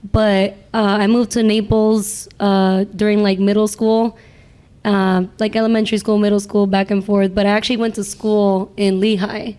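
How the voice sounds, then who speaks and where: A woman's voice: medium pitch, level tone, moderate pace, dark tone, clean tone. A woman of about thirty chatting easily as a podcast guest. She laughs often, swears without thinking about it, and keeps a mid-range voice. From Florida, born in Cuba. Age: 30s. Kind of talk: casual chat.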